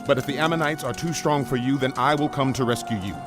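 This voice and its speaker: An actor playing a resolute, urgent army commander of ancient times in a dramatized scripture reading. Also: male voice, mid-range voice, fast talker, close to an everyday voice. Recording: music bed behind voice.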